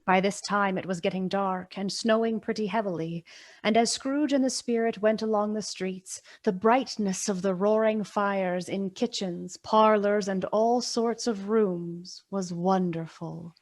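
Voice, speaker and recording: A woman narrating a Victorian Christmas tale for a radio play, measured, solemn and expressive. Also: mid-range voice, close to an everyday voice. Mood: measured storytelling.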